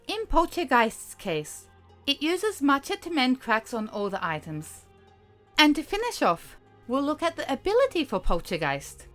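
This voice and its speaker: A woman hosting a video, reading a scripted explainer in a measured, explanatory way. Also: sits high. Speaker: video host, narrator. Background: background music.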